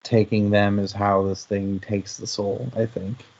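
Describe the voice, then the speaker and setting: Low-voiced man in casual discussion, muffled audio. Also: level tone, measured pace, creaky, a little mellow. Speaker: book discussion panelist.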